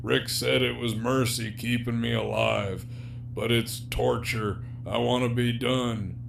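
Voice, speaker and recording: A man's voice: medium pitch, steady tone, slow pace, gravelly. A man acting in an audio drama as a jaded, sardonic, despairing prisoner villain with a profane, wry, teasing drawl. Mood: bleak, weary. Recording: faint background hum and noise.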